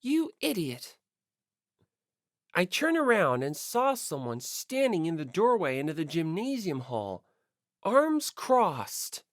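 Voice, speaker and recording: Animated woman reading an audiobook. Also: on the low side, measured pace, dry recording, studio quality. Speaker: audiobook reader. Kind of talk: expressive first-person scripted narration.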